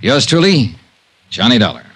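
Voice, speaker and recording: An actor playing a terse, wry, hardboiled insurance investigator in a 1950s radio detective show. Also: male voice, mid-range voice, big pitch swings, moderate pace, a bit bright, slightly rough. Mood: wry, reflective. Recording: vintage 1950s radio recording, music.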